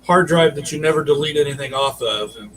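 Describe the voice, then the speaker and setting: A man's voice: fairly high pitch, a little fast, rough recording. An older man chatting casually as a podcast guest on a video call, modest and hesitant, sounding away from the mic with faint noise. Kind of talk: casual chat.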